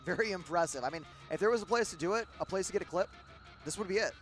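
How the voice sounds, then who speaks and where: A young man with a thick Midwestern accent gives live play-by-play for a rhythm game tournament. His voice sits a little high for a man, and he rambles with fillers and restarts. Loud game guitar music plays under his voice. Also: quick pace. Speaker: tournament commentator. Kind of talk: live esports play-by-play commentary.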